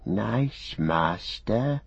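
A man's voice: fairly low pitch, expressive, extremely slow, creaky, slightly rough, no echo, lo-fi sound, exaggerated voice. A man voicing a wretched, spiteful old creature that hisses, whines servilely and repeats its words in a storybook reading. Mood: furtive fawning. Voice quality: hissing.

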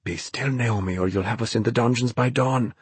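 A man voicing a feisty, bossy girl who is protective of her dog, scolding in a hushed way. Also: mid-range voice, fairly quick, breathy edge, dry recording.

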